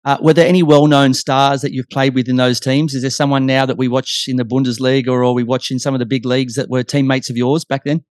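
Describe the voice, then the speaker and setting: A man's voice: mid-range voice, level tone, fast, hardly any pauses, darkish tone, no echo, clean studio sound. A man hosting a casual sports chat, putting questions to his guest as interviewer. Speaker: interview host.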